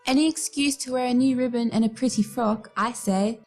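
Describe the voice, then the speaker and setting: A woman's voice: fairly high pitch, fairly quick, a little mellow, faint music behind. An actress playing a vain, outspoken country woman of the 1910s in a radio play, prim and opinionated. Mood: flippant. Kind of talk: nostalgic radio play acting.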